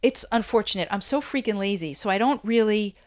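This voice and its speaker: A woman's voice: mid-range voice, lively intonation, a little fast. Chatty woman in her fifties. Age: 50s. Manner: chatty, laughing, rambling with restarts. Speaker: beauty brand founder. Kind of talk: casual interview chat. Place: Brooklyn.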